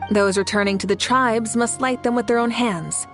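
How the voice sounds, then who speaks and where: A young warrior chief in a video game, with a somewhat high, composed voice. She speaks in a measured, encouraging and kindly way over a bed of music. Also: a bit bright. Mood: solemn, tender. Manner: measured, reassuring.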